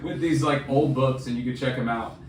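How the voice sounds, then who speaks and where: Man, casual podcast chat, enthusiastic, slangy, mid-pitched, faint background noise. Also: steady tone, brisk. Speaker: podcast co-host. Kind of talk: casual chat about books. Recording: roomy echo, distant mic, faint noise.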